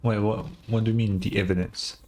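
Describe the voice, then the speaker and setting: A man's voice: lowish voice, steady tone, brisk, mellow, faint music behind. A man giving casual live reactions to a video game, often repeating words as he hesitates.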